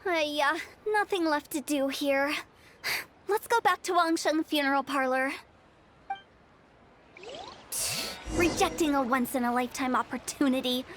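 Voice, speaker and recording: Very high-pitched, cheeky funeral director girl. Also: normal speed, a bit bright, slight background noise. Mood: confident, resolute. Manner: theatrical, cheeky sales patter. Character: playful, eccentric young woman funeral parlor director, pushy saleswoman. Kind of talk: English video game voice acting.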